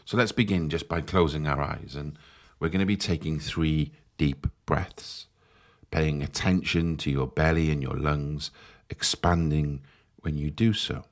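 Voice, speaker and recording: Deep low voiced guy doing guided meditation, soothing and measured, walks you thru it step by step.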